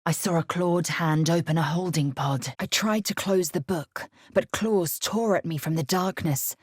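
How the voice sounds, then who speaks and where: A woman playing a fierce, proud githyanki warrior in a video game, with a husky, raspy voice and a clipped, haughty, formal manner. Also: on the low side, unhurried, no room sound. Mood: solemn, brooding. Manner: clipped, haughty, imperious, formal archaic phrasing.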